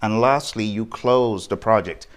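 A man's voice: fairly low pitch, measured pace. A man giving an informal lecture as a project management instructor, speaking directly to the listener with rhetorical questions and point-by-point emphasis.

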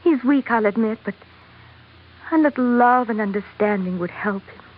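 A woman's voice: a little high, normal speed, lightly acted. A woman playing a devoted, educated young sultana with an earnest manner in an old radio drama. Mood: pleading. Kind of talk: 1940s radio drama acting. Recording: dull, noisy old radio recording.

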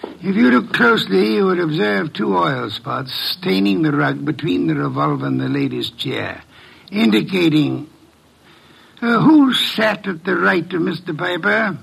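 An actor playing a shrewd, pedantic middle-aged private detective with a gravelly, precise and pompous delivery, in an old-time American radio drama. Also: male voice, high voice, lively intonation, measured pace, fairly bright tone, full character voice. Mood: coolly deductive.

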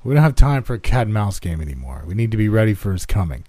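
A man voicing a menacing, drunken father who shouts commands at his son in a horror story. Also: lowish voice, very animated, quick pace, dry recording. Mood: impatient, commanding.

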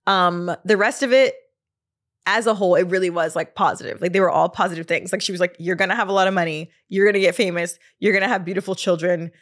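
A woman's voice: on the low side, steady tone, quick pace. A woman, hosting her podcast in a casual solo chat, laughing often and trailing off with fillers. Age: 30s. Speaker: podcast host.

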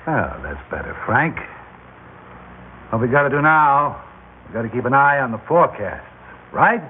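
Menacing gangster on tinny old radio. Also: male voice, medium pitch, sing-song, normal speed, breathy edge. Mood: quietly menacing. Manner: coolly threatening, insistent. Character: menacing, cold-blooded indebted gangster, coercing others. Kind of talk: classic radio drama acting.

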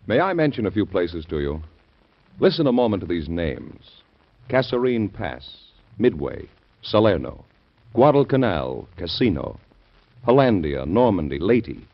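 A man announcing in a solemn, measured way during a 1940s radio broadcast, on a dull old recording. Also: deep voice.

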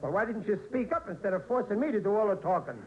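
A man's voice: high-pitched, expressive, fast, lo-fi sound. An actor playing a blustery, stubborn American working-class family man in an old-time radio sitcom, indignant and ungrammatical. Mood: flustered. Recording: noisy vintage radio recording.

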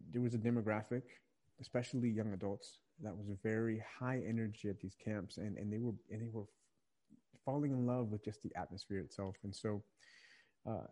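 A man's voice: on the low side, dark tone. A young man from Virginia, speaking as a guest in a conversational podcast interview, earnest and reflective, often restarting phrases as he searches for words. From Virginia, USA. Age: young adult. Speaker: podcast guest, young adult ministry leader.

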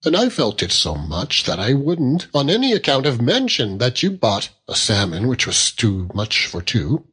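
A man voicing a fond, earnest young Victorian husband who gently reproves and reasons, in a storybook reading. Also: medium pitch, huge pitch swings, normal speed, breathy edge, heavily acted.

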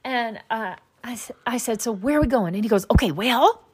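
A woman from Utah telling a long family story in casual conversation, wry and deadpan, with many fillers. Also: fairly high pitch, a little fast, husky.

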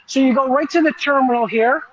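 A man's voice: extremely high pitch, a little fast, fairly bright tone, dull audio. A man giving an enthusiastic, conversational live walk-through as a restaurant reporter, explaining as he goes.

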